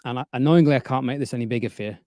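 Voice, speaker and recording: A man's voice: medium pitch, rapid-fire, mellow, no echo. A man hosts a casual livestream from his home studio, chatting and joking with lots of fillers. His voice sits in a middle range, and the close studio microphone leaves it dry, with no room around it. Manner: chatty, jokey, trailing fillers. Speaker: livestream host. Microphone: studio dynamic microphone.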